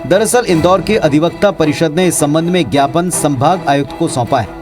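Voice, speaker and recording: A man's voice: a little high, brisk. A man with an Indian accent narrating a scripted news report as a reporter. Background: music bed.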